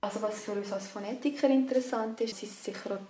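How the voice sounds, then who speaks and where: A woman's voice: medium pitch, steady tone, fast talker, dark tone. Swiss German woman in an echoey room. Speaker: phonetics researcher being interviewed. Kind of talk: spontaneous interview explanation. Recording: echoey room, faint background noise.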